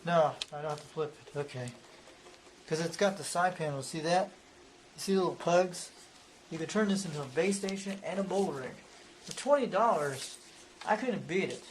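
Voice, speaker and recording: A man's voice: fairly high pitch, normal speed, rough recording. A man presenting a casual, unscripted hobby show-and-tell in a rambling, repetitive way. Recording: noticeable background noise.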